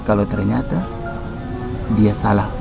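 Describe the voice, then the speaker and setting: Low, creaky male storyteller. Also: fairly even intonation, measured pace. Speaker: children's puppet storyteller. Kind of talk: casual storytelling in conversation. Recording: music bed behind the talk. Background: music.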